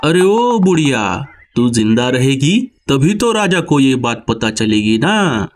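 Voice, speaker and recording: A man's voice: mid-range voice, big pitch swings, slow pace. Man playing a scheming, bullying vulture bandit. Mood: threatening. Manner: bullying, scolding. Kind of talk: Hindi children's cartoon dialogue.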